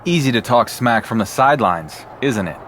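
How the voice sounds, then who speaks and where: A man's voice: medium pitch, expressive, normal speed, fairly bright tone, a little hiss or hum, lightly acted. A man playing a defiant, cocky male wrestling champion in a video game cutscene, with a dry delivery.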